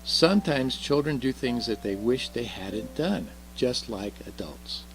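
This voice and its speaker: Kindly old Christmas gift-giver, played by a man, gentle and reassuring, very animated, gravelly edge, storybook style over music. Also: medium pitch, measured pace, pitched above the performer's own voice, poor audio. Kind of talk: children's storybook read aloud.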